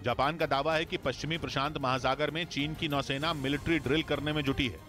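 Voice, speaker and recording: A man reading a scripted news bulletin in a steady, formal newsreader delivery. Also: on the high side, brisk, soft background music. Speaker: news reader.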